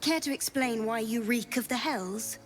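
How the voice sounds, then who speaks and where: Actress as wary female survivor, slightly high voice, frank, pragmatic, guarded, faint music, fantasy game. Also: moderate pace, lightly acted. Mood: wary, wry. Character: wary, plain-spoken woman survivor in a fantasy world. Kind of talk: video game character acting.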